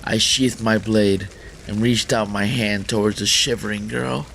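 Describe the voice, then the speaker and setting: A man's voice: lowish voice, steady tone, measured pace, vocal fry, a bit bright, rough recording. A man hosting a gaming channel, reading a visual novel aloud as dramatic narration, with faint noise in the recording.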